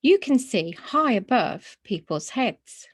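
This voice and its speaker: Kindly older gentleman for a storybook, read by a woman: courteous, knowledgeable, slow and expressive. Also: on the high side, husky, no echo, studio quality, full character voice. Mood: patient. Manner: measured, courteous, explanatory. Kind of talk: children's storybook reading.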